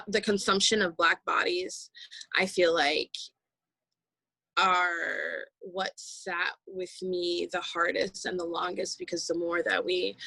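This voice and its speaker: A woman actor speaking reflectively in an interview, halting and searching for her words as she goes. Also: lowish voice, fairly bright tone, no echo, rough recording. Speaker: stage actor in an audio play.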